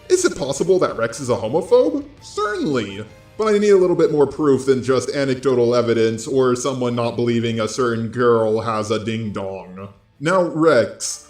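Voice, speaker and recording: A man's voice: mid-range voice, darkish tone. A man delivering informal scripted commentary as an online video commentator. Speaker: YouTube drama commentator. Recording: roomy echo with music underneath.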